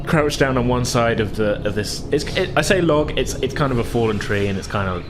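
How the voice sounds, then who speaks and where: A man running a tabletop game, narrating casually in halting, searching descriptions, with music behind him. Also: mid-range voice, animated, background noise. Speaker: game master.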